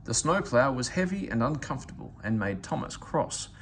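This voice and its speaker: A man narrating a children's storybook aloud in an expressive, scripted storytelling style. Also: medium pitch, moderate pace, a little hiss or hum. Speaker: storybook reader.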